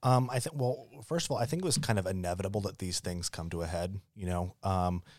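A man of twenty-two giving considered, halting answers as a podcast guest. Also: sits low, no room sound. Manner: halting, hesitant phrasing with many pauses. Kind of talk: thoughtful casual discussion.

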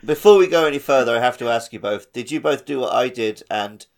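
A man's voice: medium pitch, fast. A man co-hosting a casual video game podcast, speaking in an informal, joking manner. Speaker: podcast host.

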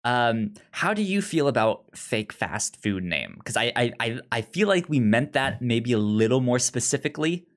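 A man's voice: medium pitch, very animated, normal speed, few pauses. A man co-hosting a podcast in casual, unscripted chat, hesitant and often stammering through repeated false starts. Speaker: podcast co-host. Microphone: remote online call.

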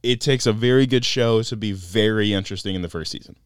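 A man's voice: lowish voice, quick pace, smooth, no room sound, clean studio sound. A young man with a beard co-hosting a podcast, joking and laughing often. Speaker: podcast co-host. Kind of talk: casual banter.

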